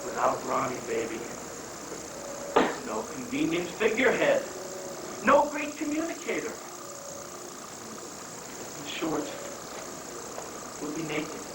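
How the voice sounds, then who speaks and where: A performer in a live play reading playing a blustering, cynical political aide who speaks emphatically and deliberately. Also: medium pitch, wildly swooping pitch, heavily acted. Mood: alarmed. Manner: deliberate, emphatic, halting lists. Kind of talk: dramatic play reading before audience. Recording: echoey, distant, noisy 1980s live recording.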